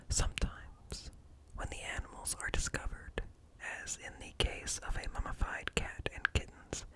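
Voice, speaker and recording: A man reading informational text in a slow, hushed, breathy whisper for ASMR, close to the microphone. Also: low-quality recording. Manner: slow, deliberate, hushed, broken into short phrases. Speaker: ASMR host. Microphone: close ear-to-ear microphone.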